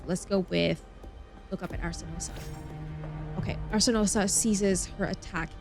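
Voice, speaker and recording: A woman game streamer with a medium-pitched voice reads on-screen story text aloud. She is expressive and chatty, slipping between dramatic narration and casual comments, with faint music underneath. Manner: expressive, dramatic reading mixed with chatty asides.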